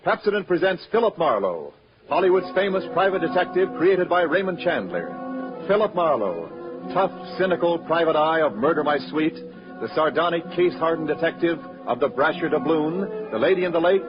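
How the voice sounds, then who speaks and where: A man's voice: a little high, lively intonation, normal speed. A man announcing a 1940s radio program in an emphatic, declamatory scripted style. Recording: muffled old radio broadcast with music.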